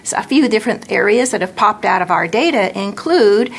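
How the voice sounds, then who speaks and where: An American woman in her fifties speaks in an interview as a nurse manager. Her mid-pitched voice has clear vocal fry, and there is a faint low noise behind her. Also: animated, a little fast. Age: 50s. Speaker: nurse manager in infection prevention. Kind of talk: interview explanation for a hospital newsreel. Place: indoor office or meeting room.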